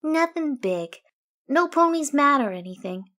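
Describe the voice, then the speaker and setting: Lady doing a sweet cooing pony mom for an audiobook, high voice, playful and gentle with kids. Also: expressive, unhurried, exaggerated voice. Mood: gentle, reassuring. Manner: cooing baby talk to a child. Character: doting pegasus pony mother, playful and gentle. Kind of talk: audiobook character voice.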